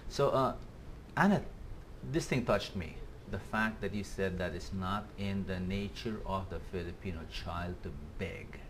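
A man's voice: low voice, measured pace, a little hiss or hum. A man in his fifties with an Indian accent, hosting a conversational interview in a TV studio on a lapel mic. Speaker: talk show host. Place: small TV studio set.

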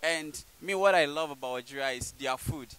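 A man's voice: fairly high pitch, a little fast, smooth, poor audio. A young man hosting a street interview vlog, speaking emphatically with a handheld microphone. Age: young adult. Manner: animated, emphatic, gestures while talking to camera. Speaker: street interviewer and channel host. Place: outdoors on a campus walkway; indoor intro before a red curtain.

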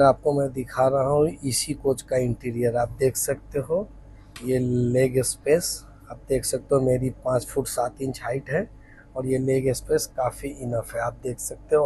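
A man's voice: mid-range voice, slightly rough, poor audio. A man in his thirties vlogging a train tour, chatty and addressing viewers as friends, filming himself with a phone at arm's length. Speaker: travel vlogger. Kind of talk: casual travel vlog tour. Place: train platform and train coach interior. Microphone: phone held at arm's length.

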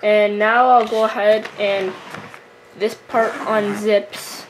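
A young woman from Canada giving a casual, halting gear tour as a fishing vlogger, recorded at home on a camera microphone. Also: mid-range voice, little pitch movement. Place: room at home with wooden floor. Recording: noisy amateur camera recording, handling clicks.

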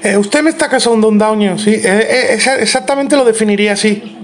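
A man playing a prissy, indignant neighbour on a prank call, halting and stiffly formal. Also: sits high, lightly acted. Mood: prissy indignation. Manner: halting, repetitive, stilted formality. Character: prissy, indignant, fussy neighbour complaining by phone. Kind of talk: improvised prank phone call.

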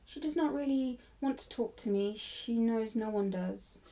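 A woman's voice: a little high, normal speed, mellow, distant mic, lightly acted. A woman playing a proud, sensitive, wounded schoolgirl from a storybook, speaking in an earnest, guarded way. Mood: hurt, resigned.

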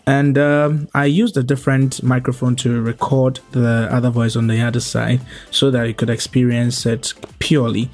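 A young man hosts a tutorial in a mid-pitched voice. He explains things step by step in a measured manner. Soft music plays underneath. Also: little pitch movement. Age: young adult. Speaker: host of a video editing tutorial. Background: background music.

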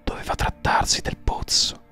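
Hushed, whispery man narrating horror. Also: faint music behind. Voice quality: hushed, breathy. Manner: suspenseful, theatrical, with measured pauses. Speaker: audiobook narrator. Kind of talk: dramatic horror story narration.

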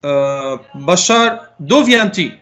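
A man's voice: high-pitched, animated, very slow, a bit bright. A middle-aged man teaching a French class over a video call, calling on students and patiently correcting their answers. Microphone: laptop mic on a video call.

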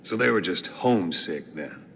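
An actor playing a stern military interrogator in a video game, controlled, authoritative and mocking. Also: male voice, low voice, expressive, moderate pace, vocal fry, a bit bright, exaggerated voice. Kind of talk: dramatic game cutscene acting. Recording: distant, echoey room, faint noise.

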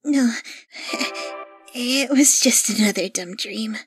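Woman as a high-voiced troubled child. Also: slow pace, husky, faint music behind. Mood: putting on cheer. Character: troubled, defiant young child. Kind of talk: animated fan comic dub.

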